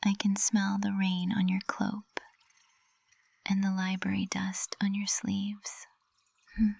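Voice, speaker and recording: Woman acting a slow, whispering lovestruck witch professor. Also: mid-range voice, monotone, darkish tone, dry recording, full character voice. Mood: soft adoration. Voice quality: breathy whisper. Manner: slow, tender, intimate delivery. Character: lovestruck high witch professor, usually cold and composed, tender. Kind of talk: intimate ASMR roleplay, whispered. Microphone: close microphone.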